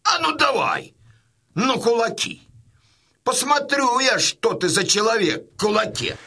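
Husky, boisterous old Cossack father. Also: sing-song, a little slow, sharp tone, exaggerated voice. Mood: mock outrage. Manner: booming, jovial, teasing exclamations. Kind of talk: radio play acting.

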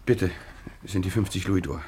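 An actor playing an agitated, earnest tutor in a German radio play, speaking in an urgent, protesting way. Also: male voice, low voice, normal speed, darkish tone, slight background noise, close to an everyday voice.

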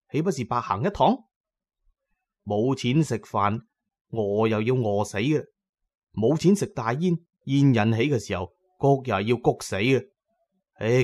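A desperate opium-addict grave robber, acted by a male storyteller in a horror audio tale. He is superstitious and full of self-pity, muttering and exclaiming in a mid-pitched voice. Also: lightly acted.

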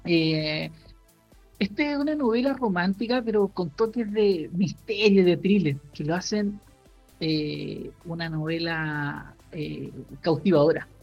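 A man's voice: high-pitched, gravelly, darkish tone, soft background music. Rambling man with dull podcast audio. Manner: halting, many fillers and restarts while explaining. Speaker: podcast co-host. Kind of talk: casual chat recommending a book.

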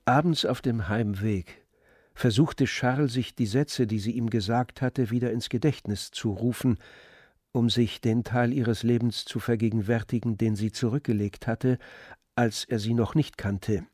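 A man's voice: medium pitch, steady tone, a little slow, a little mellow, dry recording. Dry-recorded male literary narrator. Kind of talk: scripted literary narration for radio play. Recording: dry studio with faint music.

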